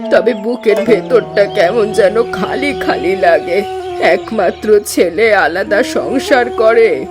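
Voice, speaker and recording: A woman voicing an aging rural mother who slowly complains about her grown son. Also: medium pitch, close to an everyday voice. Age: older. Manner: slow, complaining. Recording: voice over music.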